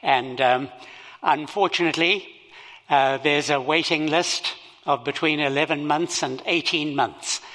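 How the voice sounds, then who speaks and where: An older man with a Zimbabwean accent, speaking as a missionary at a church service, slow and halting with many fillers. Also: on the high side, sharp tone, in a large hall, low-quality recording. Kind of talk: sermon.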